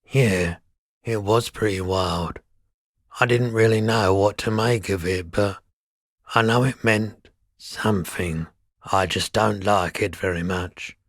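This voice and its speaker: A very low-voiced, middle-aged pawnshop keeper from a video game, talks slowly. He is gentle and a little odd, musing in a laid-back, weary way, and the recording is close and dry. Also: no echo. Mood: somber, musing. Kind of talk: video game character dialogue.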